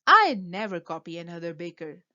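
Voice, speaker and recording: A woman's voice: fairly low pitch, sing-song, brisk, sharp tone, muffled recording, voice pushed down, full character voice. A woman playing a proud, dramatic small-town baker in a children's storybook, declaring things emphatically.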